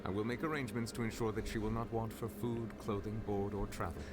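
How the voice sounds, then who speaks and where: An actor playing a composed, formal judge and dragon in a video game, speaking in a measured, solemn way. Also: male voice, fairly low pitch, level tone, noisy background, lightly acted. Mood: grave. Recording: game audio under loud music.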